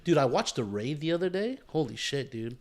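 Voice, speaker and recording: A man's voice: a little high, sing-song, fairly quick. An American man co-hosting a podcast, chatting casually and slangily with a friend. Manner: slangy, frequent "bro" and "dude". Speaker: podcast co-host. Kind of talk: casual chat between friends.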